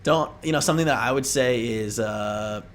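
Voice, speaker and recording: Casual young man, clearly noisy recording. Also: mid-range voice, moderate pace, fairly bright tone. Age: young adult. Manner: informal, rambling, slangy delivery. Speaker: vlogger giving interview tips. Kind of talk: casual chat to camera.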